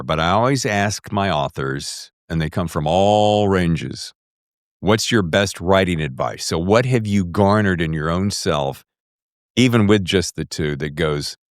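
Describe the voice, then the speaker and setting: A man's voice: low-pitched, no echo, studio quality. A man hosting a conversational podcast interview, effusive, with rambling, winding questions. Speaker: podcast host.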